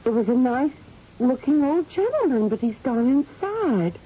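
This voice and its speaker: An actress playing an anxious but game young wife in danger in a 1970s radio drama. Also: female voice, sits high, sing-song, a little slow, husky, exaggerated voice. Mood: shaken, curious. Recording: muffled, noisy 1970s radio recording.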